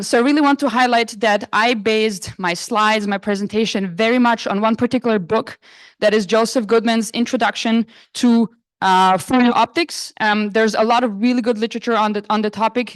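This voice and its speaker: A young woman from Croatia, a postdoc, giving a conference lecture in a relaxed, casual manner with frequent fillers. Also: a little high, fairly quick, no room sound. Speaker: astronomy lecturer. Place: lecture hall.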